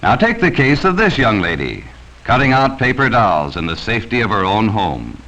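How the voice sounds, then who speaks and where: A man's voice: lowish voice, huge pitch swings, normal speed, bright, forceful tone, poor audio. An American man narrating a 1940s educational safety film from a script, speaking sternly and cautioning children directly, on a vintage film soundtrack.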